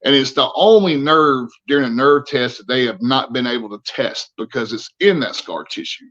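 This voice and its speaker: A man in his forties talks candidly as a podcast guest, earnest and halting, often starting his sentences over. His voice sits at an ordinary pitch. The sound is a little muffled and dull. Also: fairly bright tone. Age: 40s. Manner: earnest, halting, many restarts. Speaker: interview guest, army veteran.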